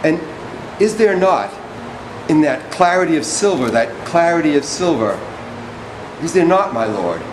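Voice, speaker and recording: A man playing a servile, wordy orator in a stage drama, reciting poetic text in a halting, deliberate, declamatory way. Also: fairly high pitch, big pitch swings, background noise. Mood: pleading, questioning. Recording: echoey room with music behind.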